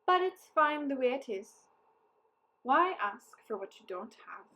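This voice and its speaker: Lady reading as a sly cheery army captain guy from a kids book, coaxing, kinda high voice. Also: big pitch swings, measured pace, lo-fi sound. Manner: coaxing, exclamatory storybook delivery. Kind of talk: children's storybook character voice.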